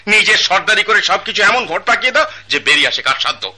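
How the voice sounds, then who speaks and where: An actor playing a pompous, quick-tempered wealthy father in a radio drama, scolding his wife and son. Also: high voice, sing-song, sharp tone, full character voice. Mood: angry.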